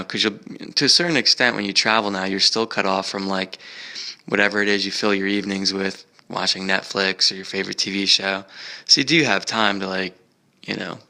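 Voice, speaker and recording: Gravelly, bright-toned American man. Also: low-pitched. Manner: meandering, chatty, frequent fillers and restarts. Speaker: travel vlogger. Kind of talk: casual rambling travel talk. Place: museum park.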